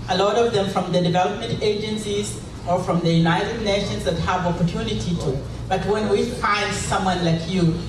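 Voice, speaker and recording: A woman answering questions from the audience as part of a conference panel. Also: on the low side. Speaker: panelist or moderator. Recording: echoey hall, distant mic, noisy.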